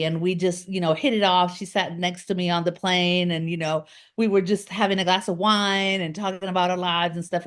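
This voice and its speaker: An older woman from Argentina, a guest telling her life story in a chatty, rambling way with many fillers. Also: on the low side. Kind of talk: casual interview storytelling.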